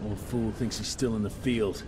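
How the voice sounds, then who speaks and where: A man playing a gruff, hard-bitten monster hunter with terse delivery in a video game. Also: lowish voice, fairly even intonation, normal speed, background noise, natural voice. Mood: irritated. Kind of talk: video game cutscene acting.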